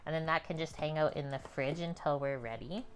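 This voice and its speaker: Calm low-voiced woman cooking. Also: brisk, background noise. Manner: calm, chatty, talks to viewers. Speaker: cooking streamer. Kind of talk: casual cooking demonstration. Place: home kitchen.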